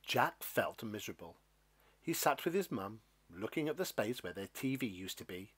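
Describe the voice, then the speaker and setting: A man reading a children's story as narrator, with expressive, scripted delivery. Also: lowish voice, moderate pace, slightly rough. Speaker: storybook reader.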